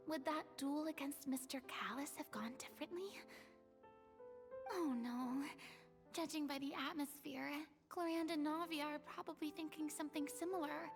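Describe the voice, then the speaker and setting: A woman's voice: high-pitched, vocal fry. A woman voicing an earnest, curious young companion in a video game, with music in the background. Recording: faint music behind the voice.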